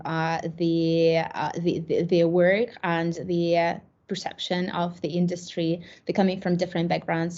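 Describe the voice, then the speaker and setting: A woman's voice: lowish voice, darkish tone, muffled recording. A woman with a Russian accent answering conference questions, hesitant, with many fillers and restarts. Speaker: academic presenter. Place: online conference.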